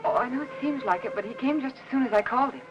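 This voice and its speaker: A woman plays a dutiful, worried wife in a vintage noir drama. Her voice sits on the high side, apologetic and solicitous. Music is clearly heard behind her. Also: rapid-fire, muffled recording, natural voice. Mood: apologetic, defensive. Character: anxious, dutiful wife nursing an ailing husband. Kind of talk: film noir acting.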